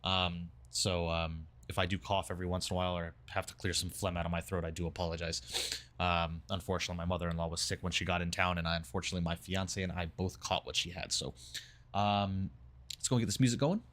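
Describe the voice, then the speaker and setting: A man's voice: sits low, fairly even intonation, fast talker, fairly bright tone. A man live-streaming a flight sim on a headset mic, slightly nasal and congested from a mild flu, upbeat as he greets chat and runs through cockpit setup. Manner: upbeat, reads out chat names and cockpit steps. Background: background music.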